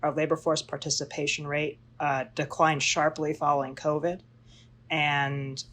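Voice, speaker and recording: A man answering as the economist guest, explaining in a measured way. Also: a little high, level tone, fairly bright tone, slight background noise.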